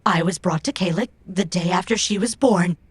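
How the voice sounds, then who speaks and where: A woman's voice: on the low side, normal speed, husky. A woman playing a proud, stubborn female robot who speaks in formal, precise, stilted phrases in an audio drama. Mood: reflective.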